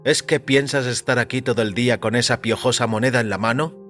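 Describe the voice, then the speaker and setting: A man's voice: medium pitch, steady tone, quick pace, a bit bright, faint music behind, close to an everyday voice. A man voicing a contemptuous, impatient male shopkeeper with an irritated, scornful manner in an audio story. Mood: angry impatience.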